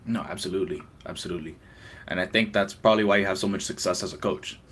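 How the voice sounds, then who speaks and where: A man's voice: deep voice, quick pace, a bit bright, husky. A man hosting a casual interview, asking questions and giving short, easygoing affirmations. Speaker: podcast host.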